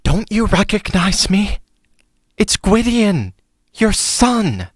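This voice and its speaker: A man's voice: sits high, very animated, takes it slowly, no room sound, clean studio sound, full character voice. A man playing a long-lost, self-absorbed prince who is indignant and pleading, in a fantasy audio drama. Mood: eager, surprised. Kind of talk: stage-style fantasy audio drama acting.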